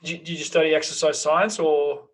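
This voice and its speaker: A man hosting a casual interview, relaxed, often restarting his questions. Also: fairly high pitch, fairly quick.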